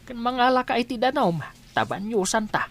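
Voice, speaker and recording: A woman's voice: fairly high pitch, a little fast, close to an everyday voice. A woman playing a plain-spoken, grumbling old village father in a radio comedy drama. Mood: practical.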